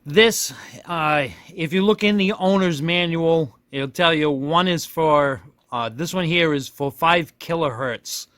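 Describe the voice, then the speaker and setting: A man's voice: a little high, unhurried, a bit bright, no room sound. A man giving a casual, unscripted hands-on product demonstration, folksy and self-deprecating, often rambling and correcting himself as he goes. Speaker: product reviewer demonstrating a radio.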